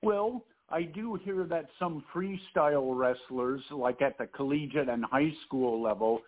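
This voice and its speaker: A man's voice: medium pitch, unhurried. A man co-hosting a podcast, interviewing a guest in a stiff, formal way with long questions. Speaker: podcast co-host. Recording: tinny phone line.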